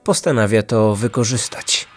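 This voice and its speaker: A man in his thirties narrates a scripted true-crime story. His voice sits low, and music plays underneath. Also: normal speed. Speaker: true-crime podcast host.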